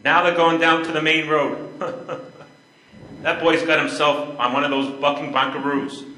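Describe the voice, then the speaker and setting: A man's voice: on the high side, flat delivery, unhurried, pushed and bright, a little hiss or hum. An older man playing a blunt, folksy onlooker in an amateur readers theater play. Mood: amused. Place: indoor live stage reading. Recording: echoey room.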